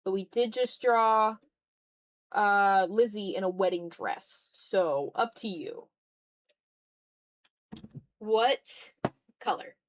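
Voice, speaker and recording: A woman's voice: mid-range voice, takes it slowly, long pauses. A woman chatting casually on a livestream as she draws, slangy and playful, talking half to herself and half to viewers. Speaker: streamer, digital artist. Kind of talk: casual livestream chat while drawing.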